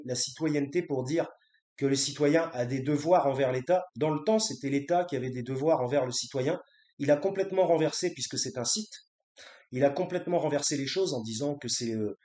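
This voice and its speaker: A man's voice: on the high side, darkish tone, reverberant. A man ranting about politics in an unscripted monologue, indignant and repetitive, with many restarts and fillers. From grew up in France from age three. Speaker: vlogger.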